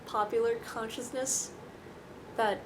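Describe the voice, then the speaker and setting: A woman's voice: fairly high pitch, steady tone, slow, background noise. A young woman from Taiwan talks casually to camera in a rambling, self-deprecating, dryly joking way. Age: young adult. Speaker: vlogger.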